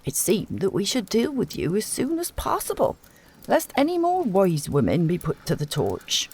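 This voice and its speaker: A woman voicing a calm, courteous male village elder with quiet menace, measured and polite in a storybook reading. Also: medium pitch, slightly rough, voice pushed up.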